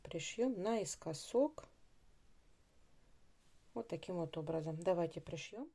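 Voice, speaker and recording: A woman narrating a craft tutorial step by step, in an unhurried, instructive way, repeating phrases as she goes. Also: fairly low pitch, expressive, vocal fry, mellow, husky.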